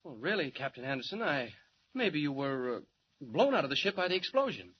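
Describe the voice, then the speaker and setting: An actor with an American accent playing a mild-mannered reporter who is secretly a confident hero, in a 1940s radio drama. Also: male voice, fairly high pitch, sing-song, a little fast. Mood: mild, polite. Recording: muffled, noisy 1940s radio recording.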